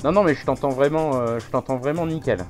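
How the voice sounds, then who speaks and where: A man's voice: mid-range voice, quick pace, a little mellow. Easygoing man with faint music behind. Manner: informal, colloquial, agreeable. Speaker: co-presenter, host's friend. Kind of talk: casual unscripted chat.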